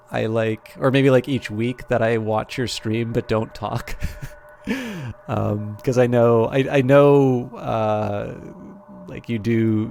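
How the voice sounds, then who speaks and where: A young man talks casually over a live game stream at an average pitch. He rambles in a friendly way, full of ums and restarts. A clear hiss of background noise sits under his voice. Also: a little mellow. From Canada.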